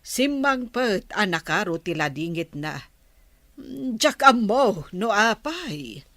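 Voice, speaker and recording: Woman doing slow patient older mom, calm preachy talk, dry close sound. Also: medium pitch, no echo. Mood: steady counsel. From Philippines. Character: patient older mother giving moral advice. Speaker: mother. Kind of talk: audio drama acting.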